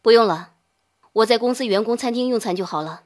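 A woman's voice: fairly high pitch, quick pace, pitched below the performer's own voice, close to an everyday voice. A woman voicing a cold, overworked young businesswoman in an audiobook, curt and cool in manner. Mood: tired, curt.

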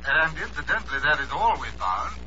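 Old radio drama, talky british doctor, high n really sharp, formal, bit hesitant, muffled. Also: male voice, big pitch swings, brisk, a little hiss or hum, heavily acted. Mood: grave, informative. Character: fussy, talkative male British expedition doctor.

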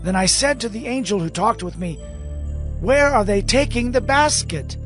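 Audio Bible prophet: high voice, very animated, earnest and declaiming, recounting visions in awe. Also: male voice, normal speed, soft background music. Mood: awed.

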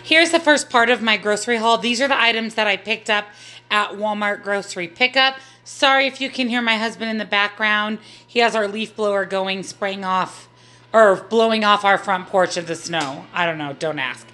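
A woman's voice: mid-range voice, normal speed, pushed and bright. A woman in her thirties with an American accent, casually narrating a haul video at home as a vlogger.

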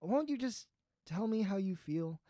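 A performer playing a gentle, sentimental, pleading old friend in an audio drama, earnest and hesitant. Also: medium pitch, animated, measured pace, no room sound, clean studio sound, lightly acted. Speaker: voice actor in student audio drama. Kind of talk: naturalistic audio drama dialogue.

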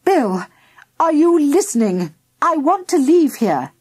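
Woman acting an overwrought, high-pitched storybook mother. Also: very animated, very slow, dark tone, heavily acted. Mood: upset, pleading. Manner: exclamatory, fretful. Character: overwrought, complaining mother in a fraught household.

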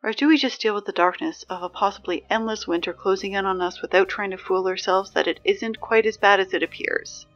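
A woman playing a chatty, exasperated high school morning announcer in a scripted audio drama, conversational and wry with hesitant asides. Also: brisk, few pauses, a bit bright, muffled recording, soft background music, close to an everyday voice. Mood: brisk announcing.

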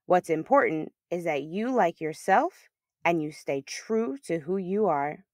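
A woman's voice: fairly low pitch, expressive, measured pace, darkish tone, no room sound, studio quality. A woman voicing a kindly, reassuring mother of a schoolboy in a storybook.

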